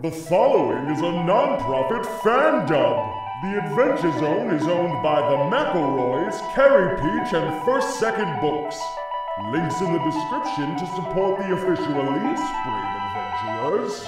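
A man's voice: fairly high pitch, big pitch swings, measured pace. A man reading a scripted disclaimer as an announcer. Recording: echoey, distant, under loud music.

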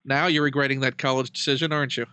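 A man's voice: mid-range voice, level tone, racing, bright, forceful tone, smooth, dry recording. A man chatting casually as an interviewer, bantering with dry, wry humor.

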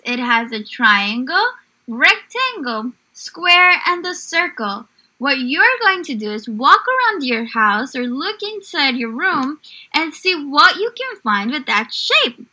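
Female teacher for young children's lessons; high, lively pitch, encouraging, unhurried, full of questions. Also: low-quality recording. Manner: encouraging, animated, prompting with questions. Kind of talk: children's online lesson with story read-aloud.

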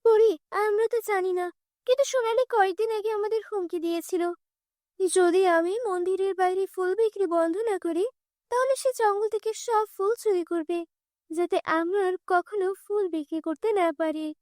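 A cautious, humble orphan girl in a cartoon fairy tale, played by a woman. She speaks earnestly and warily in a very high voice. The recording is dry. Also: normal speed, darkish tone, no echo.

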